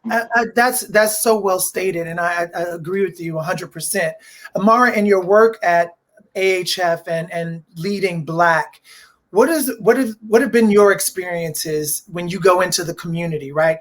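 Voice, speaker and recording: A man moderating a conversation, speaking in a halting way and repeating words as he forms his thoughts. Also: high voice, fairly bright tone. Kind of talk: conversational interviewing.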